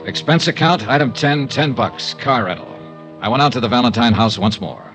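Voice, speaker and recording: An actor playing a tough, terse, hard-boiled insurance investigator in a vintage radio noir serial. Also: male voice, medium pitch, lively intonation, fairly quick, a bit bright, faint music behind. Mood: weary, terse. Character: tough, grieving male freelance insurance investigator. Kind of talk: 1950s radio noir acting and narration.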